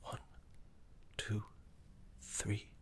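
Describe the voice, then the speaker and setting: Gentle, learned male necromancy teacher for ASMR roleplay, voiced by a man: breathy whispering, unhurried delivery, courteous and calming. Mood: calm, soothing. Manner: slow, courteous, soothing, measured.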